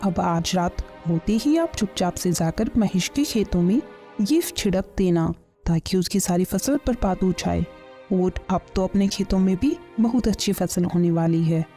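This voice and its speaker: Woman playing a mellow-voiced, bossy, scheming village wife. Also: fairly low pitch, lively intonation, measured pace. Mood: gleeful scheming. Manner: nagging, commanding. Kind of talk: Hindi kids' cartoon story dialogue. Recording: music under the voice.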